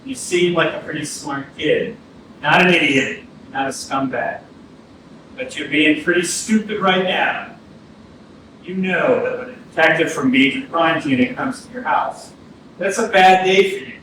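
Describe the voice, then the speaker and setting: An actor playing a blunt, seasoned police detective in a staged reading, speaking sternly and deliberately with some swearing. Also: male voice, fairly high pitch, natural voice. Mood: stern, threatening. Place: indoor arts centre stage, staged reading. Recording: echoey theatre from far off, noisy.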